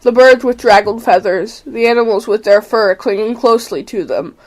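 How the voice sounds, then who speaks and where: A woman's voice: on the high side, normal speed. A female narrator reading scripted storybook narration for an amateur radio play. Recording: faintly noisy amateur recording.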